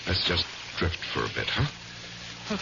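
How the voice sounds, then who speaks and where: A guilty married lawyer, gravelly and hesitant, swings up and down, old muffled radio play. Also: male voice, low-pitched, huge pitch swings, heavily acted. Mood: troubled, hesitant. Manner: hesitant, halting. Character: troubled married male lawyer narrating his guilty downfall. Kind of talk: 1970s radio drama acting. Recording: noisy, muffled 1970s radio recording.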